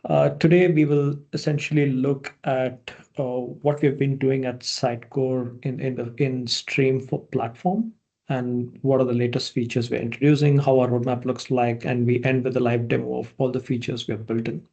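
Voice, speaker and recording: Gravelly, mellow-voiced man presenting. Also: mid-range voice, normal speed. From India. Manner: relaxed, with many uh fillers and restarts. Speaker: product manager presenting. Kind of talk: online conference presentation. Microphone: video call.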